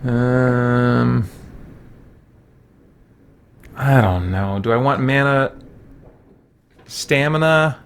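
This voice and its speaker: A man doing casual video game commentary, thinking aloud in a halting way. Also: medium pitch, smooth. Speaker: gamer, let's play host.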